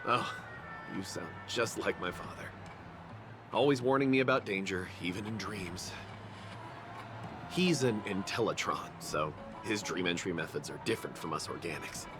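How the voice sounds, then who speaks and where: A man's voice: sits low, animated, moderate pace. A man voicing an awkward, lonely young rich heir in a video game, hesitant and emotional, over music. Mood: wry, resigned.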